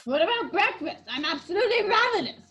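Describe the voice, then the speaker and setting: A woman playing a bossy, suspicious gentleman in a comic amateur radio play, emphatic and imperious. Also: extremely high pitch, sing-song, fast talker, full character voice. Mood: demanding.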